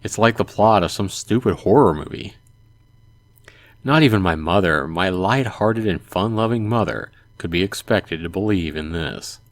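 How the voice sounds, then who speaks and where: A man's voice: deep voice, moderate pace, dry recording. A man reading a visual novel aloud as a let's play host, narrating expressively in the first person. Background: music.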